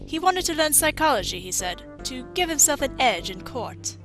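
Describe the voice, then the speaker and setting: Casting: woman as embittered female inventor, highish forceful voice, biting delivery, game fan dub. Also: sing-song, normal speed, faint music behind. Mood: cool, probing. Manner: mocking, brusque, biting. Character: bitter, sharp-tongued grieving female robot engineer.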